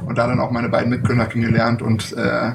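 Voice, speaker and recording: A man from Berlin, a startup founder, speaking as an interview guest in a relaxed, hesitant way with many fillers. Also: mid-range voice, fast talker, vocal fry. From Berlin, Germany. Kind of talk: casual interview talk. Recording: distant mic with loud background noise.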